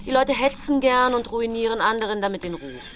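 A woman's voice: on the high side, animated, brisk, a bit bright, faint music behind. A woman playing a trusting, plain-spoken master wheelwright in a radio drama, with earnest, formal, old-fashioned speech. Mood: earnest, reassuring.

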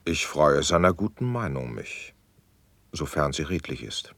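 Sceptical ruling prince for verse radio drama; extremely deep, dry-recorded, formal and commanding. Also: male voice, lively intonation, unhurried, fairly bright tone, dry recording, exaggerated voice. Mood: probing, sceptical. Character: authoritative, sceptical male prince, gracious but probing. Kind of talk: classical verse radio-play acting.

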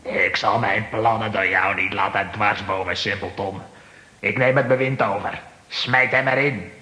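An actor with a raspy voice playing a ruthless, power-hungry villain who commands soldiers in a children's radio play. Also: male voice, lowish voice, steady tone, a little slow, full character voice. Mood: commanding, angry. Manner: forceful, menacing, commanding.

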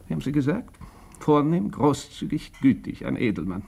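An actor playing a blunt, shrewd doctor with a deliberate, sardonic, probing manner in a German radio drama. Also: male voice, a little high, big pitch swings, vocal fry, dark tone, husky, exaggerated voice. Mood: frank, insistent. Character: blunt, shrewd, bespectacled male country doctor.

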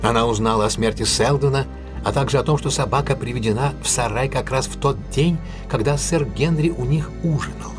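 An actor playing a shrewd detective in an audio drama, laying out a case in a measured, articulate way with deliberate pauses. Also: male voice, mid-range voice, music in the background, lightly acted. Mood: analytical, assured. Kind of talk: audio drama acting, expository monologue.

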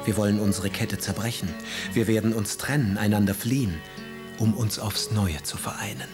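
Guy acting scornful young lord poet, low voice, haughty and cutting, radio play with music behind. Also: moderate pace, natural voice. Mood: passionate, reciting. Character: cruel, scornful young aristocratic poet husband. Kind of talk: German radio drama acting.

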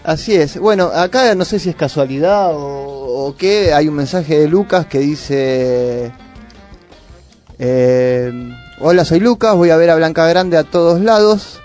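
A man's voice: on the high side, animated, a little slow, a little mellow, soft background music. A man with an Argentine accent co-hosting a community radio show, reading listener messages aloud. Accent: Argentine Spanish. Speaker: radio co-host. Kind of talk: casual community radio chat. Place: radio studio.

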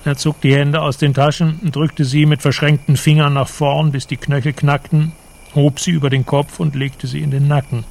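A man giving measured, precise literary narration for a radio drama, with a creaky voice. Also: on the high side, flat delivery, a little mellow.

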